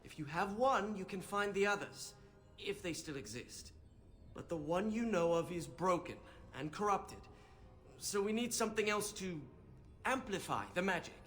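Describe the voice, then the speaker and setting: A man's voice: sits high, expressive, normal speed, a bit bright. An actor playing a fussy, bookish young male mage in a video game, earnest and hesitant as he over-explains. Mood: earnest, explaining. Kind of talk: video game character acting.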